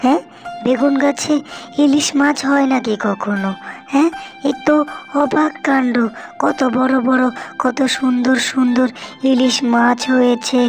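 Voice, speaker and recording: Woman acting practical village mother, highish voice. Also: steady tone, measured pace, gravelly, pauses often. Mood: amazed, relieved. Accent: Bengali rural dialect. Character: practical, comforting village mother, farmer's wife. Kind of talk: cartoon voice acting. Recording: music under the voice.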